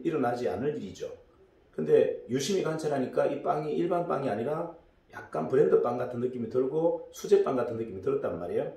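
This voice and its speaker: A middle-aged man with a hoarse, gravelly throat, his tone dark and mellow, tells a business lecture as a loose story. He rambles and restarts often. He is picked up from a distance in a roomy, echoing space. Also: mid-range voice. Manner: rambling, many fillers and restarts. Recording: echoey room, distant mic.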